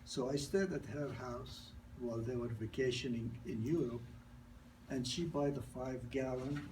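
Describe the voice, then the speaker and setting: A man's voice: mid-range voice, fairly even intonation, normal speed, recorded from a distance, background noise. A man in his sixties with a non-native accent, who grew up in New York, gives an informal sales presentation. He speaks in broken grammar, repeats and restarts, and tells stories. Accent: non-native English accent. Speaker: product presenter.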